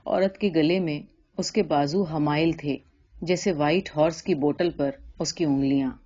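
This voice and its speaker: Woman storyteller, lowish pitch, literary narration, slightly muffled recording. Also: steady tone, warm tone.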